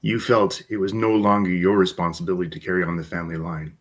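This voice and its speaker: Ship's counsellor, sci-fi radio play, played by a man. Gentle, probing, very low husky voice. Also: little pitch movement, fast talker. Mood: attentive. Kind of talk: live script read, sci-fi radio theatre.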